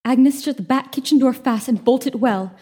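A woman voicing a kindly older servant who speaks soothingly and reasons calmly. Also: sits high, normal speed, background noise, close to an everyday voice.

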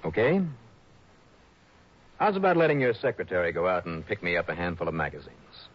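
An actor playing a wry, tough insurance investigator who is dry, blunt and wisecracking, in a 1960s radio drama. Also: male voice, sits low, expressive, a little fast, muffled recording.

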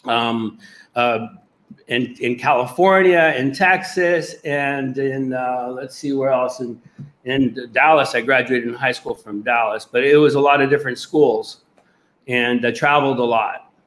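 Male guest from Puerto Rico, earnest and rambling, average pitch. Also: fairly even intonation, measured pace, fairly bright tone, poor audio. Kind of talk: casual interview.

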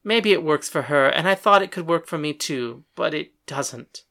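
A woman voicing a young woman who is heartsick and pleading, speaking softly and earnestly. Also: low-pitched, animated, moderate pace, voice pushed down. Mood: dejected. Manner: soft, earnest and hurt.

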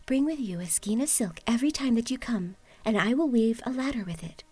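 A woman voicing a gentle young maiden with a light, partly whispered voice, her pitch raised a little. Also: expressive, fairly quick, husky, no echo. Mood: earnest.

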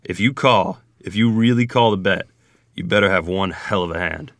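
A man playing a steely, terse middle-aged rural ferryman and card player in a table-read drama, speaking deliberately and plainly. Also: sits low, a bit bright, no room sound, clean studio sound. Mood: steely, challenging. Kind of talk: table-read screenplay acting.

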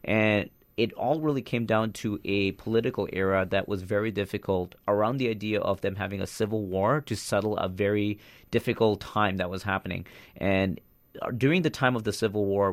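Low, creaky-voiced man telling personal stories. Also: dry recording. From Saudi Arabia, later moved to Canada. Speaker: guest, motivational speaker. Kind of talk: casual personal storytelling.

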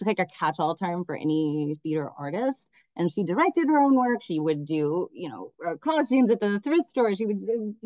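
A woman's voice: medium pitch, dark tone, no room sound, highs cut off. A middle-aged woman from Miami, a theater director speaking enthusiastically as a podcast guest, often restarting her sentences. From Miami, United States. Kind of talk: podcast interview.

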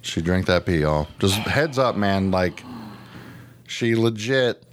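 A man's voice: deep voice, lively intonation, takes it slowly, slight background noise. A man with an American accent chatting casually as a comedic podcast co-host, exclamatory, slangy and profane.

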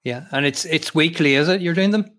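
A man hosting an interview over a video call, measured and formal with many hesitations. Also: a little high, fairly bright tone. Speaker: host.

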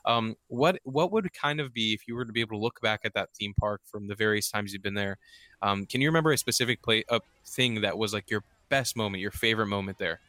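A man's voice: lowish voice, fast talker, pushed and bright, no room sound. A man chatting informally and enthusiastically on a podcast, often correcting himself as he goes. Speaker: podcast guest or contributor. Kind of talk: casual podcast chat.

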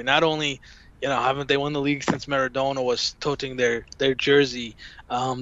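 A man's voice: mid-range voice, fairly bright tone, no room sound. A man co-hosting a football podcast, rambling and hesitant as he thinks aloud, recorded on dull lo-fi audio. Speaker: podcast co-host. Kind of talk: casual football chat.

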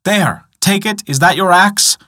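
A man voicing a very old, brusque but generous man in a storybook tale, loud and commanding. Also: high-pitched, measured pace, pitched above the performer's own voice, heavily acted.

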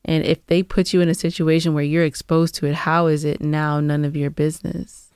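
A woman with a low-pitched voice co-hosts a casual advice discussion. She sounds earnest and opinionated as she spells out her points, and the recording is dry, with little room sound. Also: level tone, fairly quick, darkish tone, clear, resonant tone, no room sound. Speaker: podcast co-host giving advice.

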